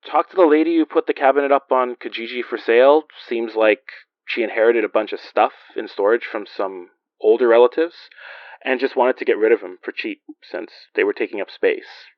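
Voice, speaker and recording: A man's voice: medium pitch, fairly quick, dry recording, lo-fi sound, natural voice. An actor playing a weary, guarded male investigator in an audio drama, speaking in a wry, hesitant, conversational way. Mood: apologetic, wry. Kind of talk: naturalistic audio drama acting.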